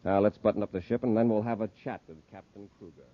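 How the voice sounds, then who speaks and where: An actor playing an authoritative, steady space patrol commander, brisk and businesslike, in 1950s radio serial style. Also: male voice, on the low side, natural voice. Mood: brisk, commanding. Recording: noisy, muffled old radio recording.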